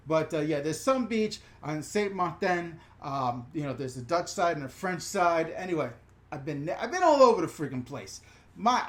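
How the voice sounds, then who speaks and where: Animated, profane New York-accented man. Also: fairly high pitch. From New York/New Jersey. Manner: animated, profane, rambling with digressions and self-corrections. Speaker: channel host answering live chat questions. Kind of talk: casual live-stream Q&A.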